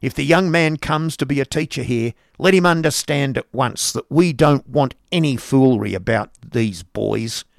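A man playing a sharp, scolding schoolmaster's wife. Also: medium pitch, moderate pace, creaky, few pauses, dry recording, clean studio sound, voice pushed up, lightly acted. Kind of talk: audiobook character voice.

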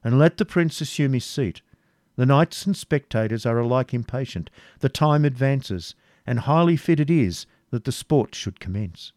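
Senior courtier voiced by a male narrator, stern, weighty, cautioning, measured storybook delivery. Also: mid-range voice, expressive, darkish tone, no echo, studio quality, voice pushed up, close to an everyday voice. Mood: commanding.

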